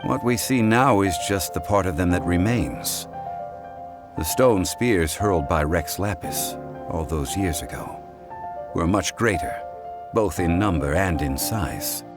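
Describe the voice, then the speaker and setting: Deep composed scholar type, formal lecturing manner, game music behind. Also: male voice, animated, unhurried. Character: calm, learned male consultant, formal and measured.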